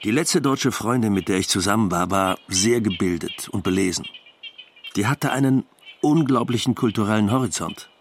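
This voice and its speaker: A man's voice: lowish voice, animated, normal speed, a little hiss or hum. A man playing a self-ironic, talkative expatriate doctor, telling his story in a dry, colloquial radio play monologue. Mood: wry, amused.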